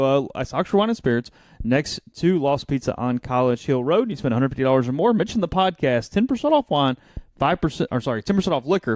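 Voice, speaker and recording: A man's voice: medium pitch, darkish tone, no echo. A man talking casually as co-host of a sports podcast.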